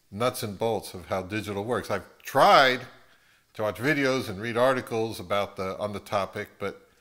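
A man's voice: fairly low pitch, fairly bright tone. Middle-aged man, hesitant and rambling. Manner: hesitant, rambling, self-deprecating. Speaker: video host. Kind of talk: casual unscripted talk to camera. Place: open living room at home, indoors.

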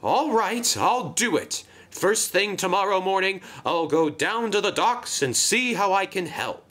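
A man voicing a chatty, naive, excitable female engine in a children's train story, gushing and easily flustered. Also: sits high, a little slow, voice pushed up. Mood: eager.

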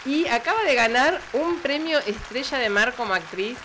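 A woman's voice: sits high, lively intonation, moderate pace, sharp tone, some chatter behind, slight background noise. Older Argentine woman interviewing on radio. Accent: Argentine Rioplatense. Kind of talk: casual live interview chat. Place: radio studio. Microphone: close studio microphone.

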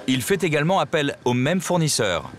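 A man reading scripted documentary narration in a measured storytelling way. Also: on the high side, very animated, a little hiss or hum.

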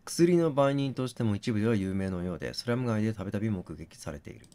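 A man doing read-aloud game commentary, musing and deliberating as he thinks out loud. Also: lowish voice, lively intonation, fast, smooth. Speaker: gaming channel host.

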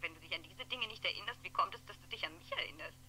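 Sharp, wry former lover. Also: female voice, medium pitch. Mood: wry reproach. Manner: teasing, deliberate. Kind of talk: 1960s German radio play acting. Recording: noisy 1960s radio, telephone sound.

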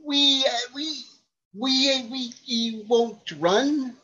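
A woman's voice: sits high, slow, sharp tone, slightly rough, dull audio, exaggerated voice. A woman voicing a cowardly country squire faltering nervously before a duel in a stage play.

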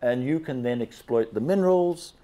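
An older man from Zambia giving an animated slide presentation to a seated audience in a large banquet hall, speaking into a handheld microphone and asking the listeners questions. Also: medium pitch, normal speed. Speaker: guest speaker.

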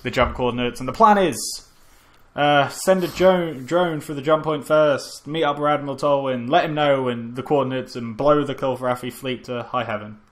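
A man's voice: mid-range voice, steady tone, normal speed. A man co-hosting a podcast in casual, mocking chat, swearing freely and recapping with sarcastic mock drama. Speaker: podcast co-host.

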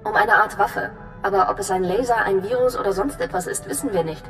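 A serious, composed female intelligence officer acted by a woman delivers a sci-fi game briefing. Her delivery is crisp and official at a middle pitch, in a long reverb with music behind. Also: fairly quick, a bit bright, natural voice.

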